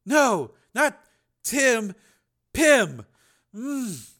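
Injured son, played by a male narrator, high-pitched, drugged and slurred, weak, apologetic, for audio fiction. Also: big pitch swings, drawn-out words, pushed and bright, heavily acted. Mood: groggy, sorry. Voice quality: thick, slurred. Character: battered, sedated, apologetic son, groggy and weak.

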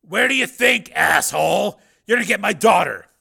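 Man playing a forceful, threatening tough vigilante. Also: sits high, animated, normal speed, gravelly, husky, pitched above the performer's own voice, heavily acted. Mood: commanding. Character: tough, menacing male vigilante, curt and commanding.

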